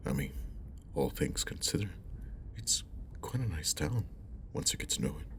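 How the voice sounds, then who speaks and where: A man playing a friendly, caring young old school friend in an ASMR roleplay, speaking softly and hesitantly with a breathy half-whisper, close to the mic. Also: rumbling low, big pitch swings, moderate pace, full character voice. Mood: shy, hopeful. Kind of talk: ASMR roleplay, intimate one-sided conversation.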